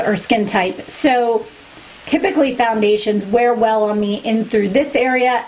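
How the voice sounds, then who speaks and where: A woman's voice: a little high, a little hiss or hum, low-quality recording. A woman reviewing a makeup product to camera for her vlog in a chatty, candid way, losing her voice. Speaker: beauty vlogger.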